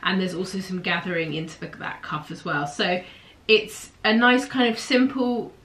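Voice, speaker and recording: A woman's voice: medium pitch, animated, normal speed, fairly bright tone. A woman in her thirties with a stuffy, nasal voice from hay fever, chatting enthusiastically to camera from a small room at home. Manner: enthusiastic, gushing, gestures a lot with her hands. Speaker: host of a sewing pattern show. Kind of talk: casual chatty review to camera.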